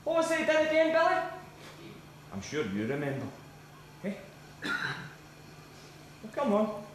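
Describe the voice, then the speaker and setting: A man's voice: very high-pitched, huge pitch swings, a little slow, exaggerated voice. A man playing an indignant, needling Scottish football rival who argues with sarcasm and swearing. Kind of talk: heated comic banter. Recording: very echoey, distant room, faint noise.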